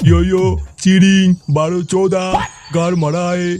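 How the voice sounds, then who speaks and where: A man's voice: high-pitched, little pitch movement, normal speed, loud music behind the voice, natural voice. A man voicing a foul-mouthed, jokey cartoon priest with a mock-ceremonial way of talking. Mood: mock chanting.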